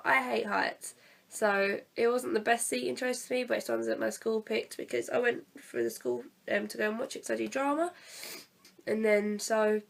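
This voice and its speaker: A teenage girl with a croaky voice from a cold, chatting casually and hesitantly to camera as a vlogger, pausing often to fill gaps. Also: a little high. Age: teens. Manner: chatty, hesitant, many fillers. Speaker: vlogger reviewing a theatre play. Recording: distant from the mic.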